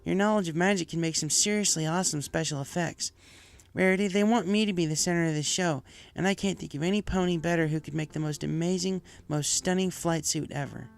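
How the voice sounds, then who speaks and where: A man's voice: a little high, fairly even intonation, a little fast, vocal fry, few pauses, pitched above the performer's own voice. A man voicing a brash, earnest young female pegasus pony in a story reading, casual and heartfelt.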